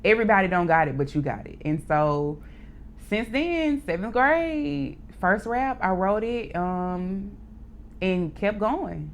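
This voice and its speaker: A young woman with a deep voice, chatting candidly as an interview guest, filling her talk with "like" and "um". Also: slow, slight background noise. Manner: relaxed, candid storytelling with frequent "like" and "um". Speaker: interview guest, poet and rapper. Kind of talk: casual interview chat.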